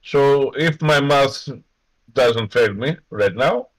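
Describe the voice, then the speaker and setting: A man co-hosting a racing stream in English, joking and laughing in a slightly halting way. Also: medium pitch, low-quality recording. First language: Greek. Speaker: co-host. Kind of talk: casual post-race commentary.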